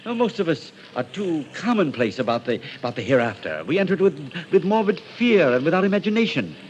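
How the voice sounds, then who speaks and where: Elderly eccentric gentleman, courtly and urbane, slightly high animated voice, noisy vintage radio drama. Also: quick pace. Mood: urbane, persuasive. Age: older. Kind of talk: old-time radio drama acting. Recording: noisy old-time radio recording.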